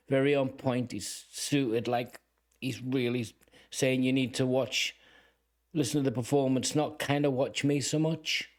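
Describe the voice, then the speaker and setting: A man hosting a music reaction video, musing aloud in halting, reflective phrases broken by exclamations. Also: mid-range voice, darkish tone.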